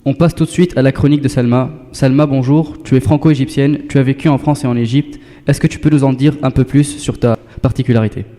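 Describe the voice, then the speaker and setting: A man's voice: medium pitch, fairly even intonation, a little fast, warm tone, big-room sound. A man hosting a school web radio show, reading his links politely and thanking each guest.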